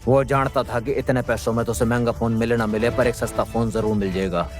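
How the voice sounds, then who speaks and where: A man's voice: medium pitch, fairly even intonation, brisk. A man with a Haryanvi accent narrating a scripted audio drama in a dramatic storytelling style. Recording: music under the voice. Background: background music.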